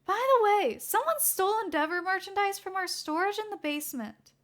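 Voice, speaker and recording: An exasperated young female hero's assistant, acted out by a woman storyteller. The voice jumps very high and bright, with a whiny, pleading edge. It is emphatic, in the manner of a lively storybook character. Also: brisk.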